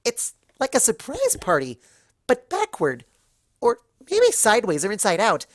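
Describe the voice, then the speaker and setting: A narrator voicing a childlike, scatterbrained female cartoon pony who rambles excitably in a story reading. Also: medium pitch, a little slow, dry recording, studio quality, pitched above the performer's own voice. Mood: rambling, rueful.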